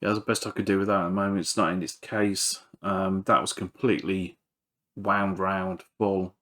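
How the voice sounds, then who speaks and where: A man's voice: deep voice, level tone, moderate pace, a bit bright. A man giving casual, hands-on repair commentary as he works, hesitant and thinking aloud. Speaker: electronics repairer presenting a video.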